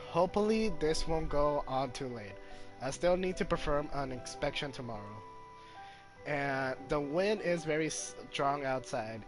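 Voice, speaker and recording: A man doing informal gameplay commentary and reading game text aloud, stumbling over words now and then. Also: mid-range voice. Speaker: gaming video host, player.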